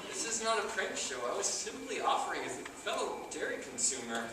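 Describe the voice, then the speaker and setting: A man's voice: high voice, sing-song, a little fast. A man playing an awkward, pedantic young geek proud of his intellect, with stiff, formal, stammering delivery in a stage comedy. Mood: nervous. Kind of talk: live amateur stage comedy acting. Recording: echoey theater from far off, noisy.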